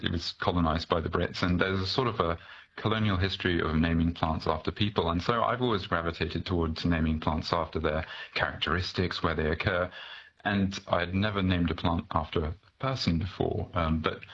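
A man's voice: deep voice, fairly quick, a bit bright, breathy edge. A man from Malaysia speaking as an expert guest, explaining things articulately and conversationally in an interview. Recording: dull, noisy remote guest line.

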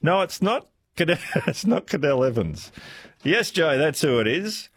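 A man hosting a talkback radio quiz, chatty and playful and quick to laugh, taking callers in a studio. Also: a little high, very animated, a bit bright. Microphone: studio microphone.

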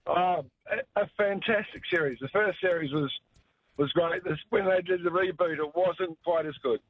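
A man from New calling in to a radio show, talking in a relaxed, hesitant way. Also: sits high, normal speed, breathy edge, no room sound. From New Zealand. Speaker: caller. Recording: tinny phone line.

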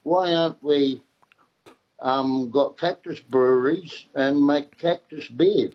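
A man's voice: fairly high pitch, takes it slowly. An Australian man calling into a radio show, talking in a laid-back, slightly hesitant way. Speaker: caller. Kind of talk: phone call to radio show. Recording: dull phone line.

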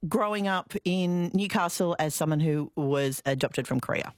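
A woman's voice: low voice, normal speed, no echo, clean studio sound. A woman with an Australian accent hosting a radio interview in a chatty, informal, conversational way. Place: radio studio.

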